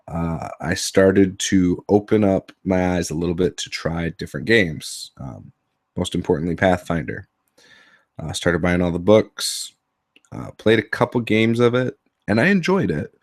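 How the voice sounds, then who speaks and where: A man with a very deep voice talks casually to his camera, hoarse from a lingering illness. He rambles and fills pauses with ums and uhs. The sound is dry and close. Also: no room sound. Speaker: tabletop RPG YouTuber.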